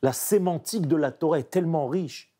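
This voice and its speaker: A man, a painter speaking French as a second language, reflecting emphatically in an interview. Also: a little high. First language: not French. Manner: animated, emphatic, searching pauses. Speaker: painter guest.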